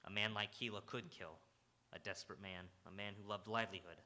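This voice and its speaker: Man playing a forceful, low-voiced hard-boiled detective. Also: steady tone, a little fast. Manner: terse, dramatic delivery. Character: hard-boiled male Broadway detective, blunt and world-weary. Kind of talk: old-time radio crime drama acting. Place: auditorium stage, live radio theatre. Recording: live stage recording with faint noise.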